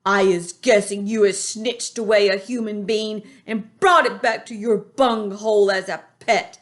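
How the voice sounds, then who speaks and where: A woman reading a storybook aloud, voicing a greedy, brutish male giant who shouts and bullies in a slow, heavy voice. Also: mid-range voice, fairly even intonation, natural voice. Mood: booming menace.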